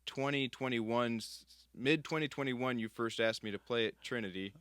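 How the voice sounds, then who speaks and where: A man with a crisp, bright voice at an average pitch, hosting a casual interview. His delivery is informal and a bit halting, captured with a dry, close sound. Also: no room sound. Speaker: podcast host.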